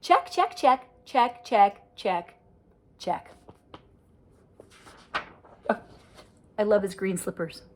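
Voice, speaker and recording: An older woman reading a rhyming picture book aloud to children, with a lively, sing-song delivery and chatty asides about the pictures. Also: medium pitch, very slow, long pauses.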